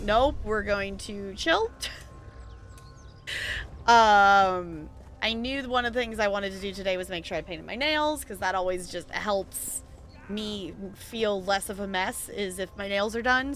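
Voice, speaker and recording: A woman's voice: medium pitch, a bit bright. A woman streamer chatting casually while she plays, laughing often and talking to the game. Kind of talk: casual chat while gaming.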